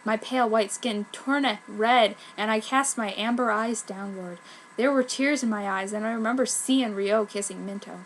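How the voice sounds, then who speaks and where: A woman's voice: a little high, moderate pace, slight background noise, low-quality recording. A woman reading fan fiction aloud as a dramatic narrator.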